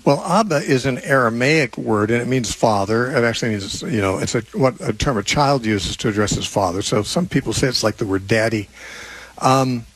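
An American man in his seventies hosting a live call-in radio show, talking in a conversational, explanatory way with a husky voice. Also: medium pitch, normal speed, low-quality recording. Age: 70s. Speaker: radio host and Bible teacher.

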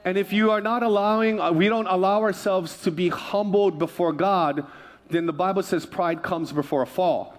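Male preacher from Hawaii, slightly high voice, emphatic and repetitive sermon delivery. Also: moderate pace. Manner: emphatic repetition, calls for congregational response. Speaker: pastor.

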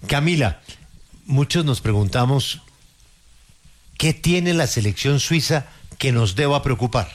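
A man's voice: mid-range voice, expressive, measured pace. A Colombian man hosting a live radio interview about sport in a conversational style. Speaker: radio host interviewing a guest.

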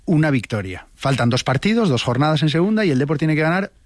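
A man's voice: fairly high pitch, lively intonation, quick pace. A man with an accent from Spain, speaking as a contributor in a casual radio chat on a studio microphone. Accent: Spanish from Spain. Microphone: close studio radio microphone.

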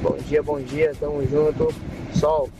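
A man's voice: on the high side, little pitch movement, takes it slowly, loud music behind the voice, poor audio. A man from Espírito Santo sending hearty morning greetings to a radio show while driving. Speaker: listener calling in. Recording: loud road noise from a moving car.

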